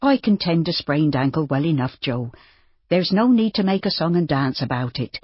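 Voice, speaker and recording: A reader voicing a bustling, kindly farmer's wife who is motherly and brisk. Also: medium pitch, lively intonation, slightly rough, dry recording, muffled recording.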